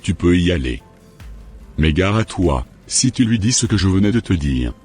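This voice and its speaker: A menacing occult healer played by a man in a homemade cartoon. The voice is very low and rough-edged, giving orders with deliberate, domineering authority. Soft music plays behind it. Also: very animated, full character voice. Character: menacing, domineering male spiritual master, a sinister occult healer.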